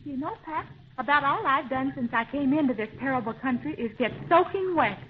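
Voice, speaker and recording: A tinny 1930s radio recording of a young American girl adventurer. She is high-voiced, plucky and eager, chatting in old serial style. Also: animated, fairly quick, slight background noise. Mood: chatty, excited.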